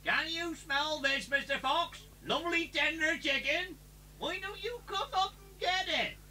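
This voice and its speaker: High, distant, sly taunting farmer. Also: unhurried, pushed and bright, exaggerated voice. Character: taunting, sly male farmer luring his prey. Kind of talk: children's audio story dramatisation. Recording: distant, noisy old cassette.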